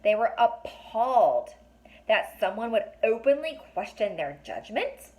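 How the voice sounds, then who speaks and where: A woman's voice: fairly high pitch, very animated, a little slow, a little mellow, poor audio. A woman reading a children's novel aloud as narrator, carefully, with an occasional stumble. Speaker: storybook reader.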